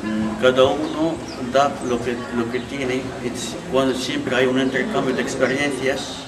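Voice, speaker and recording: A man from Morocco, not a native speaker of the language, answering interview questions haltingly, searching for words with many fillers. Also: medium pitch, fairly even intonation, over music. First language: not Spanish. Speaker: faculty official interviewed. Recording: echoey room, far from mic, loud crowd noise.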